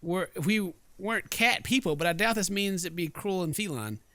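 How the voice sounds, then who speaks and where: Male let's play host, slightly high bright voice, jokey rambling commentary and read-aloud narration. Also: normal speed, dry recording.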